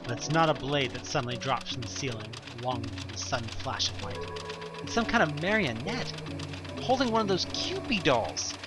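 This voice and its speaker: A man reading scripted second-person horror narration in a suspenseful, expressive storytelling manner with deliberate pauses. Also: medium pitch. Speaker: narrator of an audio play. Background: music.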